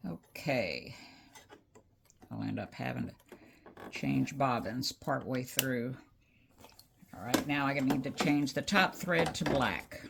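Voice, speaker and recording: A woman talks through her embroidery project in a low, slow, homey way, full of little fillers. She is recorded in a noisy craft room with a sewing machine humming nearby and the mic set off to one side. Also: vocal fry. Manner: chatty, homey, talks while working, many fillers. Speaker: crafting channel host. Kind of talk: casual machine embroidery tutorial. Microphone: speaker placed away from her, voice faint.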